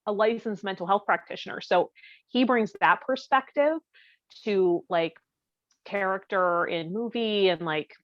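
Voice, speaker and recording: A woman's voice: medium pitch, a little slow, dry recording. A woman co-hosting a podcast in casual conversation. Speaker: podcast co-host. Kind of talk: casual chat. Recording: slightly dull, lo-fi sound.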